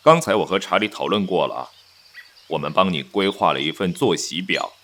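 A man's voice: deep voice, moderate pace, fairly bright tone, slight background noise. A man playing a controlling young nineteenth-century husband in a Mandarin radio drama, hearty, self-assured and patronizing.

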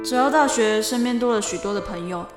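A woman's voice: a little high, brisk, over music, natural voice. A woman playing an anxious, sincere young daughter in an audio drama, with a Taiwanese Mandarin accent and a pleading manner. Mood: reflective. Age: young adult. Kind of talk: audio drama acting with reflective narration.

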